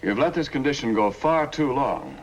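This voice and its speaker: A man playing a brisk, kindly, professional dentist in a 1950s film, on an old soundtrack. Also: medium pitch, expressive, dull audio, slight background noise, lightly acted.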